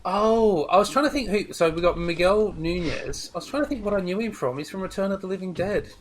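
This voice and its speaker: A man's voice: sits high, a little fast, a little hiss or hum. A man hosting a casual, chatty film discussion. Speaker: podcast host.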